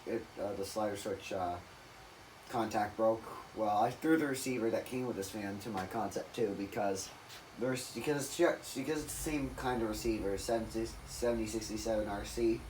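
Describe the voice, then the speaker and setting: A man with a raspy voice rambling through a casual, off-the-cuff demonstration, correcting himself and losing his train of thought. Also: fairly low pitch, normal speed, darkish tone, recorded from a distance, slight background noise, poor audio. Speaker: ceiling fan collector demonstrating a fan. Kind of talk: casual off-the-cuff video narration.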